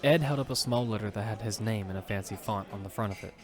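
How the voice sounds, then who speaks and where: A man playing a gentle, sentimental father and narrating his story in an earnest, plain-spoken way for an audio drama. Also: fairly low pitch, fast, music in the background, lightly acted. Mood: casual, fond. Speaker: narrator and lead character. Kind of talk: first-person narration in amateur audio fiction.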